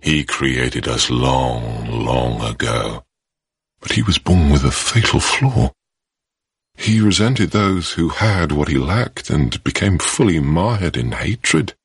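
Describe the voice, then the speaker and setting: A man's voice: expressive, dry recording, studio quality, faint music behind, full character voice. An actor voicing an ancient male being who solemnly tells of his lonely master. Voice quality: deep, husky and slow. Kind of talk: solemn game narration.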